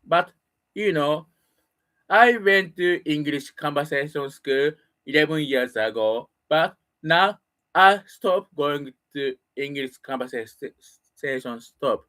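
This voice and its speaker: Halting Japanese-accented man speaking English. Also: fairly high pitch, sharp tone. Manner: halting non-native English with pauses and restarts. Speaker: solo broadcaster practicing English. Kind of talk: casual spoken monologue.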